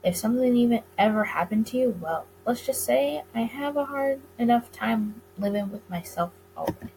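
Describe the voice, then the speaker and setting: A woman's voice: fairly high pitch, animated, moderate pace, dark tone, a little hiss or hum, low-quality recording. A woman voicing a weary, skeptical but affectionate male detective boss in a visual novel, dry and earnest. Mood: worried.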